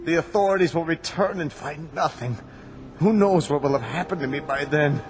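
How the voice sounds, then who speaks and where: A man's voice: a little high, normal speed, noisy background, natural voice. An actor playing a sly, persuasive male prisoner said to be the devil, theatrical and sardonic, in a radio drama. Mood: desperate pleading. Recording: music bed behind the voice.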